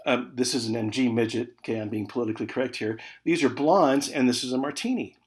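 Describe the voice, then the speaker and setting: A man with an American accent giving an informal online college lecture, conversational, stressing key words and then trailing off softly. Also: medium pitch, quick pace. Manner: conversational, emphatic key words trailing off into soft check-ins like "okay". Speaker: college communication instructor.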